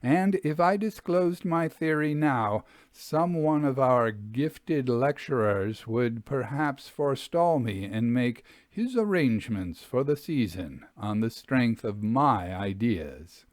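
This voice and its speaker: Guy narrating as a victorian gentleman ghost hunter, formal and confiding, mid voice, dry storybook recording. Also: mid-range voice, lively intonation, no echo. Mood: confident, confiding. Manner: formal, deliberate, addressing the reader.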